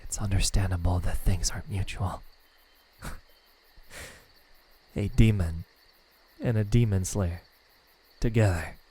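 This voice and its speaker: A man voicing a lonely, tender demon in love in an ASMR roleplay, speaking softly and haltingly, often near a whisper. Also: low-pitched, exaggerated voice. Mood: bashful, wondering. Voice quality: breathy, soft, half-whispered. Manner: hesitant, halting, stammering. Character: lovesick male demon, lonely, tender, self-doubting.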